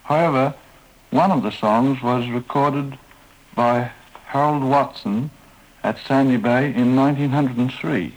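A man answering interview questions as an expert, speaking carefully and pausing on fillers. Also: medium pitch, poor audio. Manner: slow, careful, halting with fillers. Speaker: museum expert being interviewed. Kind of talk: archival radio interview.

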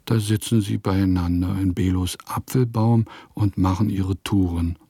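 Radio play role: eccentric diarist composer, florid and declamatory, deep-set and unhurried, with a soft dark tone. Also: male voice, steady tone, husky, dry recording, clean studio sound, exaggerated voice. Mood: whimsical. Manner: declamatory, savouring odd words and names. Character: eccentric male village composer reading his diary, florid and whimsical. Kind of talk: radio play diary monologue.

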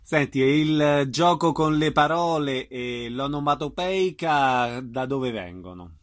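A man's voice: mid-range voice, lively intonation, slow. A man working as a radio interviewer, putting informal questions to a guest.

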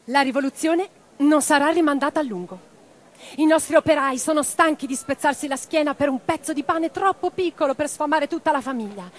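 A woman's voice: high-pitched, brisk, natural voice. An actress playing an impassioned revolutionary woman in a radio play, declaiming with theatrical intensity. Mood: impassioned, defiant. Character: impassioned revolutionary woman orator and letter-writer. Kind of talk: theatrical dramatic monologue. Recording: guitar music behind the voice. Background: plucked guitar music.

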